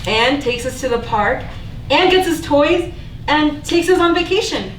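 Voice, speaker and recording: A woman playing a bitter, sharp-tongued older sister in a staged play reading, sullen and exasperated. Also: high voice, lively intonation, measured pace. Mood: insistent. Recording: distant mic, echoey room, faint noise.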